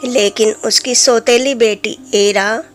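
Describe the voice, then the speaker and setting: A woman reads a children's fairy tale in a high voice. She takes her time over each phrase, in a storyteller's scripted style, with music playing behind her. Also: fairly even intonation, slow.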